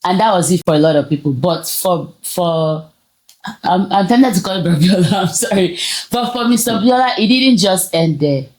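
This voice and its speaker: Low-voiced Nigerian woman hosting a talk. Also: expressive, normal speed, darkish tone. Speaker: host of a talk show. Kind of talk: casual conversational hosting.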